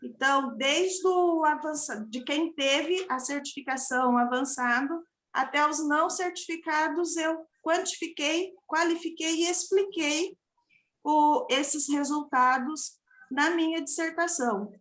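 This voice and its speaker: A woman's voice: high-pitched, measured pace, fairly bright tone. A woman joining a video call from her phone, apologetic and explaining her research step by step. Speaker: participant, language researcher. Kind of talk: casual video-call chat. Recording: roomy phone audio on a call.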